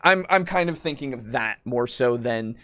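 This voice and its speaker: A man hosting a casual podcast chat about tabletop roleplaying, explaining things in a rambling, halting way with some swearing. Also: medium pitch, lively intonation, sharp tone, no echo, clean studio sound. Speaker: podcast host.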